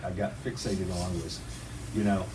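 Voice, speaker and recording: A man's voice: deep voice, flat delivery, normal speed. A man hosting an interview on a porch, asking questions in a rambling, friendly way with many fillers. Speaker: interviewer, channel host. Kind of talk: casual interview. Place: outdoor porch. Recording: distant mic, birds and outdoor noise.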